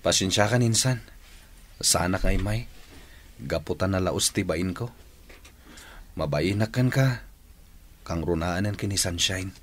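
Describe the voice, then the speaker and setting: A man's voice: low voice, measured pace, pauses often, slight background noise. An actor playing an ashamed, apologetic man in a Filipino radio drama, speaking in a subdued, hesitant way. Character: ashamed, apologetic male cousin of the groom. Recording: music underneath.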